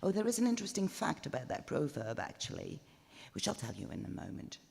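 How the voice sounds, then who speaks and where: Woman acting as skinny moustached man, pedantic, pompous, rambling lecturer, creaky voice, storytelling, long chapel reverb. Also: sits low, huge pitch swings, fast, a little mellow, exaggerated voice. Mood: pompous, fussy. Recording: live in echoey stone chapel, long reverb.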